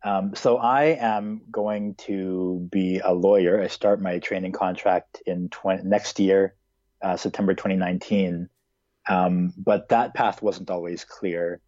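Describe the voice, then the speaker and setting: A man's voice: deep voice, steady tone, unhurried, warm tone, no room sound, muffled recording. A man in his twenties who grew up in Hong Kong, answering interview questions in a casual, hesitant way with many fillers. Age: 20s.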